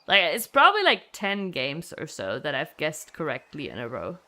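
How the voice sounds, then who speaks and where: A woman chatting casually as a game streamer, in a rambling, animated way with casual swearing. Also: low-pitched, moderate pace. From Germany. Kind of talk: casual live gameplay chat. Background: music.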